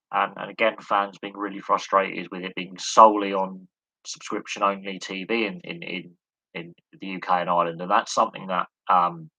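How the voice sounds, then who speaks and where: A man with a low, very bright and sharp voice talks sports on a live stream. He is going hoarse and coughs now and then. He rambles, stumbling over repeated words between "um"s. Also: low-quality recording. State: losing his voice, coughing. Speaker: sports commentator answering questions.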